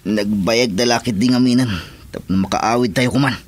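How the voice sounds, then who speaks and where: A man's voice: mid-range voice, normal speed, close to an everyday voice. An actor with an Ilocano accent playing a complaining henchman of a gambling boss in a radio drama. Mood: grumbling. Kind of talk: Filipino radio drama acting.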